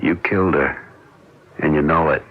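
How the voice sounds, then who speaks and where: A man's voice: rumbling low, moderate pace. An actor playing a bitter husband in a radio drama, pressing his accusations in a relentless, cold, dramatic way. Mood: cold, final. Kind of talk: 1970s radio drama acting. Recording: dull lo-fi radio recording.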